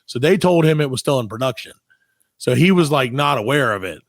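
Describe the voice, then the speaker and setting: A man hosting a comedy podcast, telling casual stories in an animated, sweary way and acting out the dialogue. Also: mid-range voice, a little fast, no room sound, clean studio sound. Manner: animated, profane, acts out quoted dialogue. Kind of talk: casual comedic storytelling chat.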